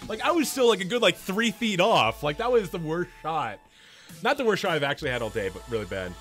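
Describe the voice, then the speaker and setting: A man's voice: high voice, sing-song, fairly quick, faint music behind. A young man streaming games, chatting casually and rambling with his viewers, his throat strained and his voice wearing out. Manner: rambling, restarts often, talks loud and a lot. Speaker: game streamer. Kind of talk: casual chat with live chat viewers.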